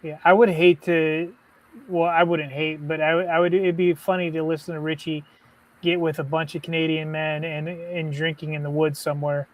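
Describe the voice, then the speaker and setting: A man with a somewhat high voice co-hosts a casual live sports stream. He talks in a loose, rambling way, filling his speech with "like" and "you know". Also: flat delivery, normal speed, a little hiss or hum, rough recording. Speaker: podcast co-host.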